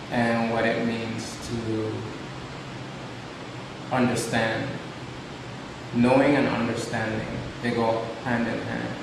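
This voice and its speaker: A man giving a spiritual lecture as a teacher, speaking deliberately, with rhetorical questions and repeated phrases for emphasis. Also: medium pitch, creaky. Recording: very echoey reverberant room, distant, loud hiss.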